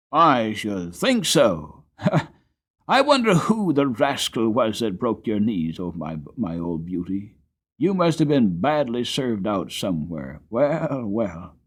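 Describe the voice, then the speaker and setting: A man voicing an excitable, kindly young groom in a storybook, exclaiming and musing with affection. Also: medium pitch, husky, heavily acted. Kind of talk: dramatic storybook character reading.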